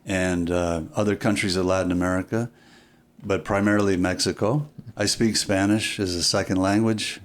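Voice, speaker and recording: A man speaking as an interview guest, reflective and deliberate, often pausing and repeating phrases for emphasis. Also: low-pitched, fairly even intonation. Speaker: podcast guest, filmmaker.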